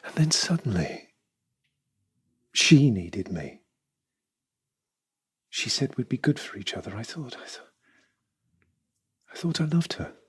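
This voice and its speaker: An actor playing a hesitant, regretful man in an audio drama, with a husky voice and halting, emotional delivery. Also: medium pitch, sing-song, no echo, heavily acted. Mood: anguished, halting. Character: hesitant, regretful man torn between two women.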